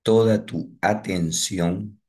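A man leading a guided morning meditation, giving calm, repetitive breathing instructions with long pauses. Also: sits low, breathy edge.

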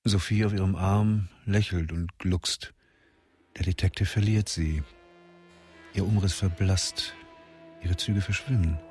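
A man narrating a radio crime drama in the present tense, in a calm, measured way. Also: sits low.

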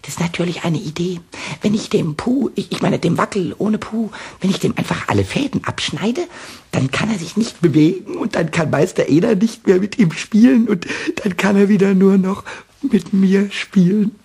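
An actor playing a small, mischievous and jealous male kobold in a radio play, with a raspy, croaky voice and a sulky, stammering, stubborn way of talking. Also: sits high, normal speed, a little mellow, exaggerated voice. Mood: scheming whisper.

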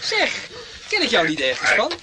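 Mocking policeman, very high pitch, swooping intonation, radio drama, loud background noise. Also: wildly swooping pitch, normal speed. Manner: taunting. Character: mocking, sarcastic male policeman making an arrest.

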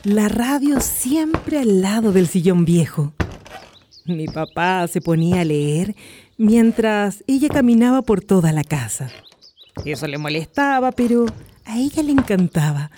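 A mellow-voiced woman in a radio play as a grieving wife who clings to her late parents' house. She speaks wistfully and with feeling, in a middle register, over a faintly noisy, clicky recording. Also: mid-range voice, sing-song, a little slow. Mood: wistful, nostalgic. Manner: wistful, emotional, dramatic delivery. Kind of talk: Spanish-language radio drama acting.

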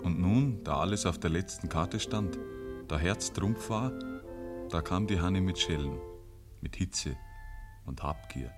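A man's voice: low voice, a little slow, pauses often, over music. A man narrating as a wry, folksy storyteller with a Bavarian accent, in dramatic 1950s radio style. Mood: dramatic, rueful.

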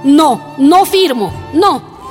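A woman's voice: high voice, animated, extremely slow, in a large hall, faint music behind, full character voice. An actress with a Bolivian accent playing an anguished, humble but defiant imprisoned miner's wife and mother in a radio drama. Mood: anguished defiance.

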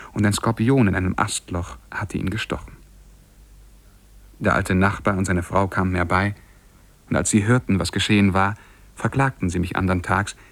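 An actor playing a lovestruck young scholar who tells his own story in measured, lyrical German radio-play style. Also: sits low, creaky, no room sound.

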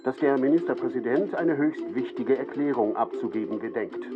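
A man's voice: medium pitch, moderate pace, loud music behind the voice. A man reading a formal radio news report as an announcer. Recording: narrow-band old radio broadcast.

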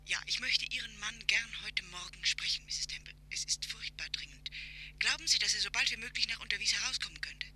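An actress playing an anxious headmistress who calls in a 1950s radio drama, speaking over the telephone in a hushed and urgent way. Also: female voice, a little high, a little fast, slightly rough, heavily acted. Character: urgent female boarding school head on the phone.

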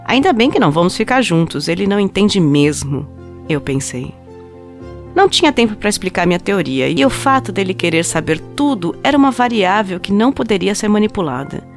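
A woman playing an obsessive, coldly rational scientist confessing to murder in a dramatized audio story, with intense, deliberate delivery. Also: mid-range voice, very animated, soft background music. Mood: tense, self-justifying. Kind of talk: dramatized first-person audio story narration.

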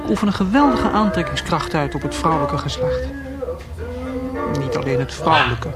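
An actor playing a vain, flirtatious bar-band singer in a radio play, showy and drawling, with a taste for foreign phrases. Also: male voice, fairly high pitch, very animated, normal speed. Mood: boastful, flirtatious. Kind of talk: Dutch radio drama acting. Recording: radio play with music behind.

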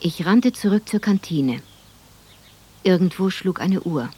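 An actress playing a grown woman recalling her village childhood, narrating a radio drama in a measured, reflective way. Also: on the low side, lively intonation, mellow, breathy edge.

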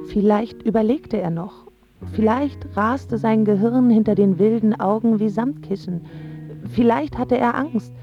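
A woman's voice: a little high, highs cut off. An actress playing a passionate, wounded young woman in love, in a radio drama with first-person narration. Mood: hurt, intense.

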